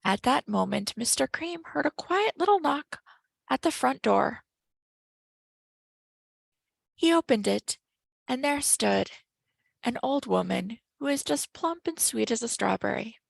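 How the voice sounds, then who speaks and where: Female storybook narrator, expressive read-aloud for children, heavy vocal fry, dry close sound. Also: a little high, unhurried, no room sound. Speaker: storybook reader at a school.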